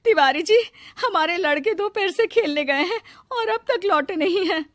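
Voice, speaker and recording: A woman's voice: very high-pitched, lively intonation, moderate pace, fairly bright tone, no room sound, studio quality, voice pushed up. A woman voicing an anxious village mother calling and pleading in a cartoon moral story.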